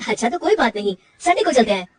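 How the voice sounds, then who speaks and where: A woman voicing a gentle, loving young husband who coaxes and pleads with his wife. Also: on the high side, big pitch swings, fast, recorded from a distance. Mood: coaxing. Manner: coaxing, pleading.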